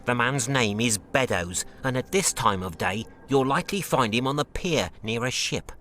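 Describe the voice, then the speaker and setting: A helpful, deferential harbour man voiced by an actor in a video game. His medium-pitched voice moves in a lively way, over faint ambient noise. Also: moderate pace, natural voice. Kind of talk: video game cutscene acting.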